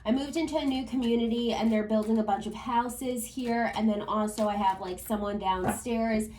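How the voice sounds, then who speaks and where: A woman with a hoarse, fading voice from a cold, giving a chatty tarot reading at home with lots of fillers. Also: on the high side, fairly even intonation, moderate pace, a little mellow, distant mic, slight background noise. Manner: chatty, filler-heavy, talks to spirit and viewers. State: hoarse, losing her voice from a cold. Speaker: tarot reader. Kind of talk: casual tarot reading to camera. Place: upstairs room at home.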